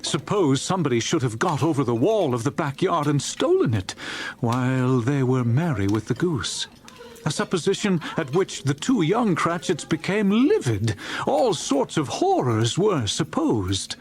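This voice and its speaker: Male audiobook narrator for a classic tale: theatrical and expressive, wide pitch swings, slightly high register. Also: unhurried, a little hiss or hum. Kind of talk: dramatic scripted storybook narration.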